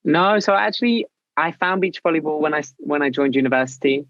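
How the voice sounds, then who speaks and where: A man's voice: a little high, expressive, fairly quick, a bit bright, no echo, highs cut off. A man, a writer, guesting on a casual video-call interview and talking with effusive enthusiasm.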